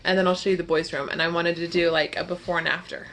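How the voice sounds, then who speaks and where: A woman's voice: lowish voice, level tone, quick pace, a bit bright. A woman in her thirties talks in a chatty, rambling way, with plenty of ums, while she walks a handheld camera through her family home. Her nose is congested from a cold, and a faint hiss sits behind her voice. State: stuffed-up nose. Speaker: vlogger. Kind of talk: casual home tour vlog. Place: family home, kitchen and kids' bedroom, indoors. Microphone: handheld camera at arm's length.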